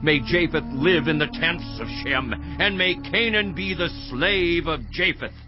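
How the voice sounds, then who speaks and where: A man playing an aged, stern patriarch who declaims slowly and solemnly in a dramatized scripture reading. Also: fairly high pitch, natural voice. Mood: solemn, exalted. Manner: slow, declamatory. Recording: dull audio with loud music behind.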